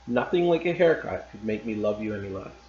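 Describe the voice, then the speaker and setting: Man reading young husband, slow and measured, echoey muffled sound. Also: on the low side, animated, a little mellow, slight background noise, voice pushed down, lightly acted. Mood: tender. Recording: roomy, slightly dull recording.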